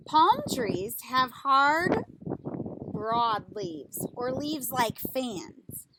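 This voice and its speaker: High-pitched woman reading slowly to children. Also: expressive, background noise. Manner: deliberate, instructive, question-and-answer delivery. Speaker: teacher reading a picture book aloud to children. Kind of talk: read-aloud storybook narration with questions to young listeners.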